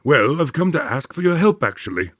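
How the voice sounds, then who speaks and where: Polite, animated doctor. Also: male voice, fairly high pitch, brisk, a little hiss or hum. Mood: polite, apologetic. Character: James Mortimer, polite male doctor seeking help. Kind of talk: modern radio drama acting.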